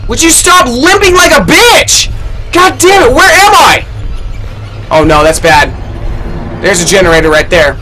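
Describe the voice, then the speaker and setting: A young man streaming a horror game, swearing and shouting in panic, talking into a headset in a small room. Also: extremely high pitch, very animated, a little slow, pauses often, faint music behind, poor audio. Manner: swears a lot, yells in panic. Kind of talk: live horror game commentary.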